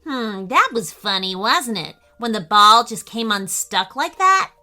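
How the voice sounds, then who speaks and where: A woman plays a sceptical young schoolboy in a storybook. He talks emphatically and matter-of-factly in a bright, high voice. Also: sing-song, measured pace, faint music behind, full character voice. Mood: puzzled.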